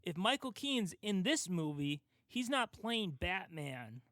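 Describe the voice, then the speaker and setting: Male podcast host, young adult, higher voice, emphatic explainer in casual discussion, close dry sound. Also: sing-song, unhurried, a bit bright, no echo. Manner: explanatory, emphatic, talks through an argument step by step. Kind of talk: casual pop culture discussion.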